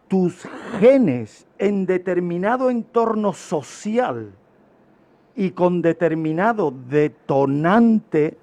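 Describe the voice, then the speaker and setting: Slow, higher-pitched man explaining on radio. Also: warm tone. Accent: Spain. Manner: didactic, emphatic repetition. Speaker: guest criminologist explaining. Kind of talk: informal radio lecture.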